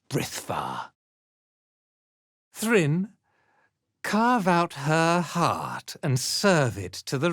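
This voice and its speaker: Haughty, cruel dark elf commander, swooping delivery. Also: male voice, medium pitch, breathy edge, dry recording, clean studio sound, exaggerated voice. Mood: cold fury. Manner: imperious, menacing, deliberate pronouncements. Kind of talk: video game character acting.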